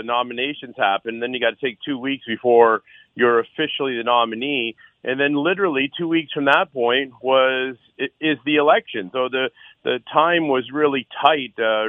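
A middle-aged man from Six Nations in Canada, a council candidate on a phone-in radio interview, earnest and loud-voiced, with many uh fillers and restarts. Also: mid-range voice, no echo. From Six Nations, Ontario, Canada. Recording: dry tinny phone line.

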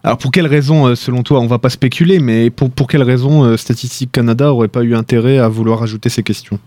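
A male radio host asks measured questions, often pausing on fillers. His voice is mellow and relaxed, recorded close and dry. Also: mid-range voice, no echo. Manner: measured questions with many hesitations. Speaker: radio interviewer. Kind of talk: radio interview.

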